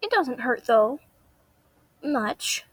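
A woman's voice: on the high side, very animated, extremely slow, slightly rough, dry recording, exaggerated voice, rough recording. A young woman playing a plaintive, stubborn child sidekick in a classic radio drama. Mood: sulky, reluctant.

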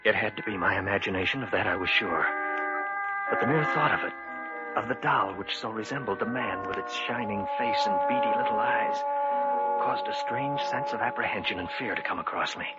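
An uneasy man, played by an actor tells an eerie story in a low voice, with the measured drama of old radio suspense. Loud music plays behind the slightly muffled recording. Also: close to an everyday voice. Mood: grave, apprehensive. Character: uneasy man recounting his eerie encounter. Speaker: narrator and lead character. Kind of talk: 1950s radio suspense narration and acting.

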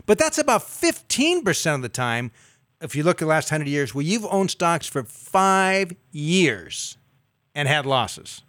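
A man in his fifties co-hosting a call-in advice show, explaining things in a measured way with figures. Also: fairly high pitch, sing-song, fairly bright tone, no echo, studio quality. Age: 50s. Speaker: radio show co-host. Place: studio.

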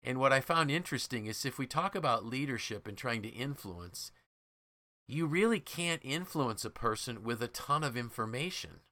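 Bright-voiced man explaining in conversation. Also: medium pitch, no echo. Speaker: podcast host.

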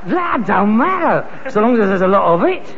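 Theatrical, high-pitched London son. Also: male voice, very animated, normal speed, fairly bright tone, breathy edge, heavily acted. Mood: scheming, persuasive. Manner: theatrical, sarcastic, indignant. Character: frustrated grown-up son, junk trader with big ideas. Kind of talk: 1960s British sitcom acting. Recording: dull, muffled old sitcom recording.

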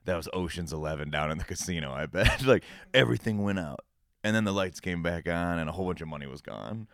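A man's voice: low-pitched, animated, fast talker, gravelly, a bit bright, dry recording, clean studio sound. A man in his thirties co-hosting a podcast, talking in a laid-back, rambling way. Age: 30s. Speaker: podcast co-host. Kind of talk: casual chat.

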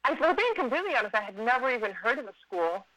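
Guest: bright, chatty woman, thirties, from Miami, dry close phone sound. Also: fairly high pitch, sing-song, rapid-fire, no echo, low-quality recording. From Miami, USA. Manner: upbeat and chatty. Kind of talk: casual interview.

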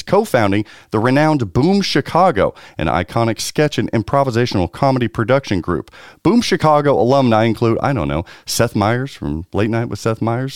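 Man, podcast host, hype-style intros, animated swings in pitch, dry close sound. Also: no room sound. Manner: showy, hype-style announcing with big swings. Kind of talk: casual podcast chat.

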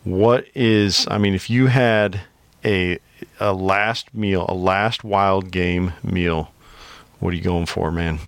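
A man's voice: low-pitched, steady tone, takes it slowly, creaky, clean tone, no echo. A male podcast host talking casually and thoughtfully, meandering with fillers and repeats. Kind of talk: casual conversational interview.

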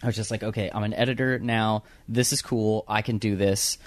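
A man's voice: fairly low pitch, fairly even intonation, fairly quick, no room sound. A young man with a young-sounding voice, chatting casually as an interview guest about radio production work, full of fillers and restarts. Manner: rambling with many fillers and restarts.

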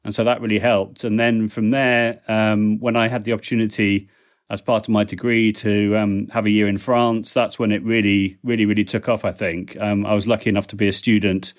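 A man's voice: on the low side, little pitch movement, a little fast. A middle-aged man, a podcast guest, talking chattily with frequent ums and small restarts as he answers questions. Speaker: guest, language technology consultant. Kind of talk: relaxed interview answers.

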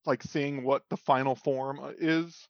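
A man's voice: medium pitch, fairly even intonation, unhurried, vocal fry, no room sound, highs cut off. A man co-hosting a podcast in casual chat, teasing the others and laughing often. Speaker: podcast co-host.

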